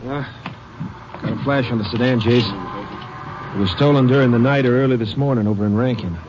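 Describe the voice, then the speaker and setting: A man's voice: mid-range voice, a little fast, noisy background, natural voice. A man playing a plainspoken local lawman. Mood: matter-of-fact. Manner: plain, folksy and matter-of-fact. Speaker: patrolman. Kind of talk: 1940s radio acting. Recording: dull old radio broadcast with crowd murmur.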